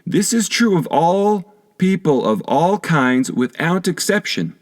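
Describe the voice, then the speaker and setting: A man narrating a philosophical text as an audiobook, in a measured, formal reading manner. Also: fairly high pitch. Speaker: audiobook reader.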